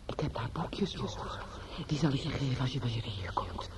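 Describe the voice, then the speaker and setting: An actress playing a devout, doting spiritualist aunt in a Dutch radio drama, consoling with earnest, tender fervour. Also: female voice, extremely low pitch, expressive, normal speed. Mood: tender, coaxing. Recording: noisy old radio recording.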